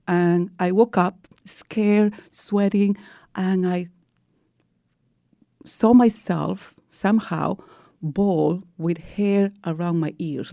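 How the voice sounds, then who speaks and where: Peruvian-accented woman, low gravelly voice. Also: mellow, no room sound, clean studio sound. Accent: Peruvian Spanish. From Peru. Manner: earnest storytelling with non-native English phrasing. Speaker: guest. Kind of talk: radio interview.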